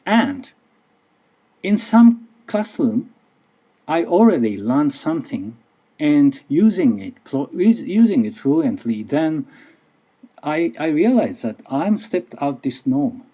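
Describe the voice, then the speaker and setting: A man's voice: a little high, very animated, takes it slowly, warm tone. A middle-aged man from Japan with a Japanese accent, speaking English as a second language and giving a careful, slightly nervous conference talk with frequent restarts. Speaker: university lecturer. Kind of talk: conference presentation.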